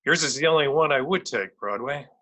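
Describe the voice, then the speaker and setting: Male actor as police lieutenant, forceful sharp tone, medium pitch, gruff, incredulous, wry, radio drama. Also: a little fast, lightly acted. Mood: wary. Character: gruff, exasperated New York police lieutenant. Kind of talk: old-time radio drama acting.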